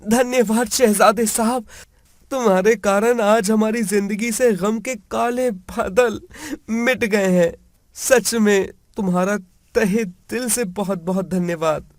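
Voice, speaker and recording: A man voicing a poor, grateful village boy in an animated moral story, with an amazed, exclaiming manner. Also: high voice, slow pace, dry recording, clean studio sound, voice pushed up.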